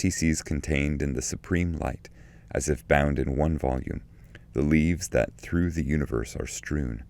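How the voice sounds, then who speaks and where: A man narrates literary commentary from a script. His voice is extremely deep, with a gravelly fry running through it. The delivery is solemn and deliberate, each phrase measured out. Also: darkish tone. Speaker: narrator and translator reading his edition.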